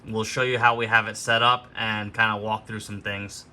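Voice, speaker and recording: A man's voice: fairly low pitch, level tone, moderate pace, pushed and bright. A man hosting an unscripted product walkthrough, explaining things step by step in an instructional way. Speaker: host of a gear demonstration video.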